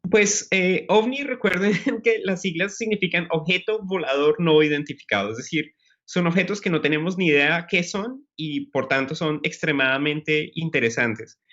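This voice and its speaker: A man, a scientist guest, explaining science in a measured way during a live news chat. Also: a little high, a bit bright. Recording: muffled broadcast audio.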